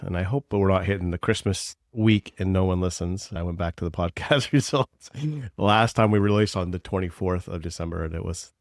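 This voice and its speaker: Deep gravelly male podcast host. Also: a little mellow, dry recording, clean studio sound. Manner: blunt, teasing, earnest. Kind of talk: casual podcast chat.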